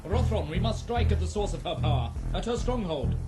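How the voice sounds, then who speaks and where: An actor playing a resolute, noble warrior prince in a fantasy audio drama, speaking in formal, archaic, declamatory lines. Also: male voice, high-pitched, lively intonation, brisk. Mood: grave, determined. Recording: loud music under the voice.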